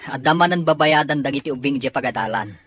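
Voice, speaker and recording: A man playing a caring, responsible and often frustrated younger brother with a husky voice and Filipino Ilocano accent in an audio drama. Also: mid-range voice, quick pace, a bit bright, soft background music, close to an everyday voice. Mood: pleading, frustrated.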